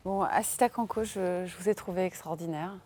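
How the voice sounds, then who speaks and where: Impassioned low-voiced woman commentator. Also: brisk, a little mellow, slight background noise. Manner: impassioned, searching for words. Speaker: philosopher commentator. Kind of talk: closing commentary. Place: TV studio.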